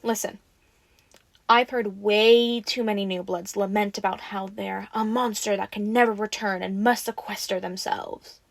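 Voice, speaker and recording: A woman's voice: medium pitch, fairly even intonation, a little slow, creaky, dry recording. A woman playing a teasing, bossy but caring vampire in an audio roleplay, talking informally and expressively to the listener. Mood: frank, probing. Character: teasing, sassy, caring female vampire taking in a newly turned one.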